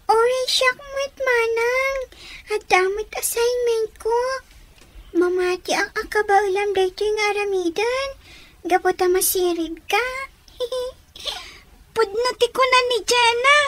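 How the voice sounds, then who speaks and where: Eager, affectionate schoolchild for radio drama; tiny high voice, unhurried delivery. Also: bright, forceful tone, slight background noise, exaggerated voice. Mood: playful. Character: eager, affectionate young schoolchild sibling at home.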